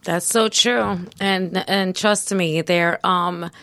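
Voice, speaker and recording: Slow-talking woman radio guest. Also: on the low side, fairly even intonation, fairly bright tone, no room sound. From Dominican Republic. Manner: laughs often, quick agreeing replies. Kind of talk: casual radio chat.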